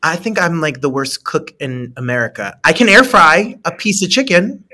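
A woman's voice: sits low, expressive, moderate pace, pushed and bright. A woman in her late thirties hosting an interview, gushing with praise and often stumbling and restarting her sentences. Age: 30s. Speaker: interview host. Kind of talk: casual interview chat.

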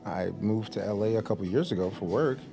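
A man's voice: lowish voice, fairly quick, vocal fry, music in the background. An actor playing an awkward thirty-year-old man on a first date, hesitant and stammering. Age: 30s. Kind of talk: audio drama acting.

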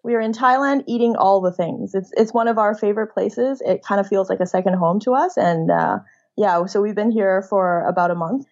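A woman in her thirties talks casually as an interview guest. Her voice sits at a middle pitch and the audio is a bit dull and lo-fi. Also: expressive, brisk, a little mellow. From born in rural China, moved to Canada as a child. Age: 30s. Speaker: podcast guest.

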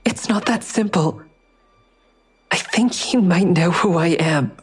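A lowish-voiced, anxious young woman sleuth. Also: slow pace, slightly rough. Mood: uneasy, defensive. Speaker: lead character and first-person narrator. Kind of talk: naturalistic audio drama acting.

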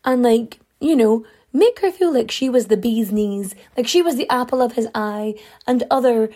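A woman host telling a story casually, full of fillers, with swings in pitch and a voice she says is going. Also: moderate pace, dark tone. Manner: chatty, many fillers, big pitch swings. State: losing her voice.

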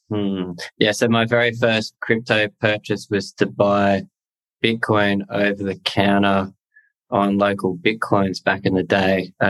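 A man, answering interview questions in a measured, hesitant way with many fillers. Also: lowish voice, a bit bright, poor audio. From Australia. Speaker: guest, crypto tax software founder. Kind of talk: casual interview.

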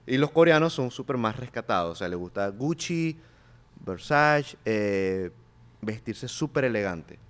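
A man's voice: mid-range voice, expressive, unhurried, fairly bright tone. Slangy young man chatting casually. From Korea. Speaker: guest. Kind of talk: casual chat.

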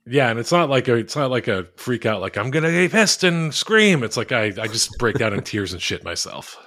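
A man co-hosting a podcast over a call, rambling and self-mocking in a flat monotone with crude jokes. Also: mid-range voice, fairly quick, no room sound, studio quality. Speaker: podcast co-host. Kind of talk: casual podcast chat.

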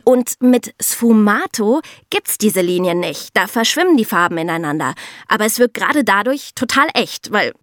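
A woman's voice: medium pitch, big pitch swings, moderate pace, fairly bright tone, no echo, clean studio sound. A woman co-hosting an educational podcast for children, explaining things conversationally and addressing listeners directly. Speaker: podcast co-host explaining history.

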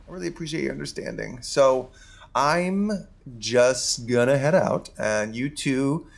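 A man's voice: medium pitch, unhurried, creaky. An actor playing a nervous, stammering male theater composer in an audio comedy. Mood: flustered.

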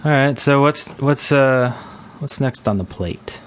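A man with an average-pitched voice chats as a gaming podcast co-host. His delivery is laid-back and informal, coming out in slightly halting phrases. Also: slight background noise. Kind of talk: casual gaming podcast discussion.

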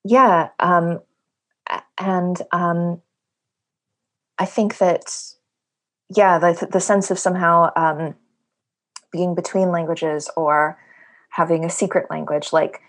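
Low-voiced hesitant New woman. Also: flat delivery, takes it slowly, long pauses. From New York. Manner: hesitant, many fillers, laughs often. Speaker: playwright. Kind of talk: podcast interview, thoughtful.

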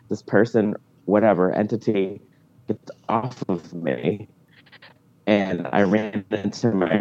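A woman calling in by phone to tell a personal story, giggly and emphatic with dramatic pauses. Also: a bass voice, mellow. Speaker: podcast caller. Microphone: phone call. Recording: dull, dry phone-call sound.

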